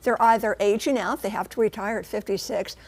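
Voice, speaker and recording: An American woman reporting and explaining the news on television, with emphatic, deliberate phrasing. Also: medium pitch, fairly even intonation, darkish tone.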